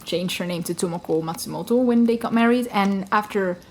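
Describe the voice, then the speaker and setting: A woman's voice: mid-range voice, fairly quick, a little mellow, a little hiss or hum. A woman co-hosting a true-crime podcast, narrating from her research in a casual way, with fillers and hedges. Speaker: podcast co-host.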